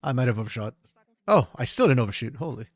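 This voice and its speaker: A man with a slightly hoarse voice, reviewing a match on his own in a casual, think-aloud way with frequent restarts. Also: mid-range voice, big pitch swings, fast talker, no echo, studio quality. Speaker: esports caster doing a solo VOD review. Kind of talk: casual solo game analysis commentary.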